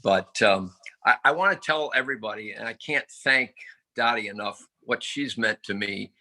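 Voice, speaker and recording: A man in his seventies giving a humble, halting acceptance speech over a video call, with many fillers and self-deprecating jokes. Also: lowish voice, bright, forceful tone. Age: 70s.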